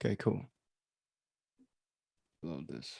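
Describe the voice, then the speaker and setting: A man's voice: deep voice, expressive, takes it slowly, vocal fry, mellow, slightly rough, no echo, clean studio sound. A man hosting a livestream in casual, rambling chat, commenting on his own audio drama and easily amused.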